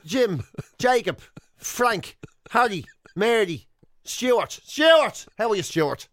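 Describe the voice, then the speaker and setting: A man's voice: high voice, big pitch swings, slow, a bit bright, no room sound, clean studio sound, pitched above the performer's own voice, full character voice. A man voicing a muddled, exasperated dad who fumbles through names as he calls for his son. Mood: muddled calling out.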